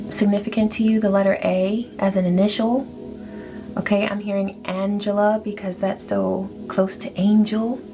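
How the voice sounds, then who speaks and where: A woman giving a spiritual tarot reading to her viewers, speaking in a soft, affirming way and drawing out her phrases. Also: medium pitch. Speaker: tarot reader. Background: background music.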